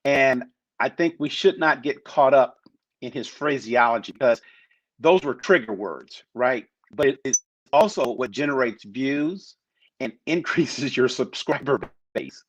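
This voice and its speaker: Brief: male panelist, mid-pitched, dry lo-fi line, loose rambling delivery. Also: expressive, measured pace, no room sound. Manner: rambling, many restarts and hedges. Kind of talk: casual chat. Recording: muffled lo-fi sound.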